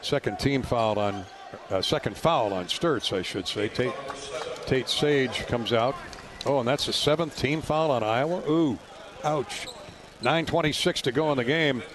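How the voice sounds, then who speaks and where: A man's voice: medium pitch, measured pace, crowd noise. A man doing live radio play-by-play of a basketball game in a folksy, colorful way. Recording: loud arena noise behind courtside radio call. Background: ball bounces and gym ambience.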